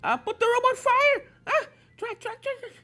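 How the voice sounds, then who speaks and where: A woman voicing a plucky, reckless young filly ghost hunter with an excitable, impulsive manner in a fan story reading. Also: extremely high pitch, normal speed, no echo, voice pushed up. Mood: frantic. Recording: game music under the voice.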